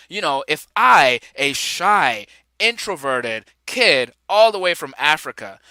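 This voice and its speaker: A man's voice: on the high side, pushed and bright, no echo. A man from Nigeria in his forties, hosting his own podcast with an upbeat, enthusiastic delivery and chuckling asides. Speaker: podcast host. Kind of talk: upbeat solo podcast intro.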